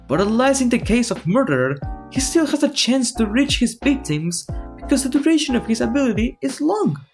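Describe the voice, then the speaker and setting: A gaming video creator whose first language is Spanish, ranking game characters in a halting voice that often restarts its phrases. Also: medium pitch.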